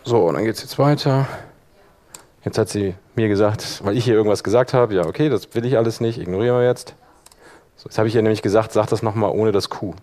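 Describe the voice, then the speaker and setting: Low-voiced man leading a software workshop. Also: brisk. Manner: informal, step-by-step explaining. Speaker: workshop instructor. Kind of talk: live software demonstration to an audience.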